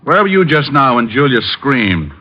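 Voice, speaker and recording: An actor playing a sardonic, flirtatious hard-boiled private eye in a vintage radio detective drama. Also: male voice, mid-range voice, sing-song. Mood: urgent. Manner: sardonic, brisk quips. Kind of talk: 1940s radio detective drama acting and narration. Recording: muffled old radio recording.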